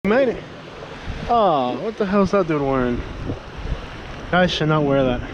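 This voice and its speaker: A man's voice: a little high, animated, unhurried, highs cut off. A man chatting casually in a slangy, grumbling way as he films himself fishing. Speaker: fishing vlogger. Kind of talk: casual chat while fishing. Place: outdoors in a canoe and on shore at a coastal pass. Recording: loud wind, water and boat noise.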